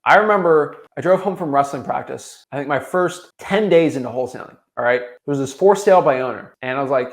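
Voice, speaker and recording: A young American man giving an unscripted motivational talk as a coach, emphatic and repetitive, with informal slangy phrasing. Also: mid-range voice. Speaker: online business coach.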